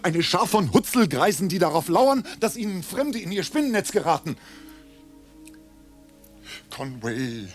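Hot-headed young Englishman, volatile pitch. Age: young adult. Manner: agitated, emphatic, swinging between hushed and outbursts. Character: young, hot-headed, impatient, passionate Englishman, scornful and pleading. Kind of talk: radio drama acting.

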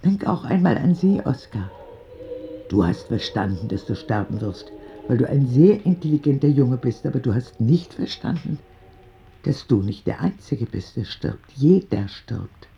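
A man playing a frank, tender old grandmother in a radio drama, speaking gently, earnestly and deliberately. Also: mid-range voice, sing-song, vocal fry, dull audio. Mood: grave, gentle.

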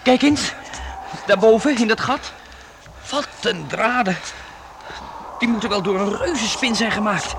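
A man playing an excitable, easily startled boy adventurer in a Dutch radio play, breathless and prone to stammering. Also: high voice, unhurried, gravelly, long pauses. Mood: amazed. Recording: noisy old radio drama recording.

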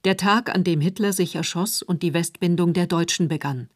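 A woman's voice: lowish voice, normal speed, dry recording, studio quality. A woman reading a scripted title and credits announcement for a radio play.

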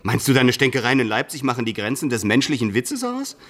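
An actor playing a boastful, scheming student in a German radio play, mocking and grandiose, with theatrical declamation. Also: male voice, medium pitch, very animated, a little fast. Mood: incredulous, grandiose. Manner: theatrical, declamatory, swinging from conspiratorial to shouting.

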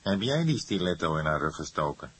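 A man's voice: low voice, big pitch swings, brisk, a bit bright, slightly rough, heavily acted. A man voicing a laconic, ceremonious old police coroner in a detective audiobook, dry and matter-of-fact. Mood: surprised.

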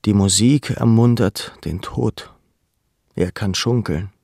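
An actor playing a young, melancholy camp prisoner in a measured, introspective radio drama narration. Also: male voice, on the low side, creaky, a little mellow, no room sound, clean studio sound, full character voice. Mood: somber, dreamlike. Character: young deported male labour camp prisoner, melancholy, watchful.

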